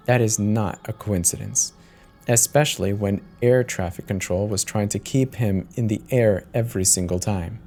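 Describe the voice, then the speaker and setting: A man giving a grave, deliberate dramatic reading as the narrator of a scripted horror story. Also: fairly low pitch, warm tone.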